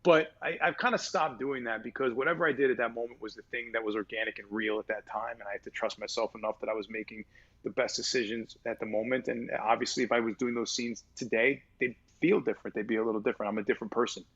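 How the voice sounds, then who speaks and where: Husky mid-voiced man, rambling chat. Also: mid-range voice, fairly even intonation, fast talker, a bit bright, lo-fi sound. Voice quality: mid-register voice. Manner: rambling, lots of fillers and restarts, swears casually. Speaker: voice actor guest. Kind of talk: casual interview chat.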